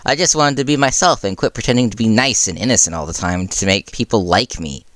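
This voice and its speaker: A man's voice: lowish voice, fast, a bit bright, dry recording, clean studio sound, close to an everyday voice. A man voicing a breezy, mischievous underground superhero in an audiobook, with a casual, cheeky, flippant way of talking. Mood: breezy, defensive.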